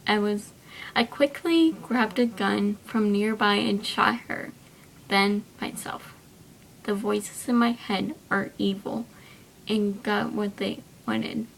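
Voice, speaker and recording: Creaky-voiced woman reading a story aloud. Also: slow, low-quality recording. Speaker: video creator reading own story. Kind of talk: amateur story reading to camera. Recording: rumbly background noise.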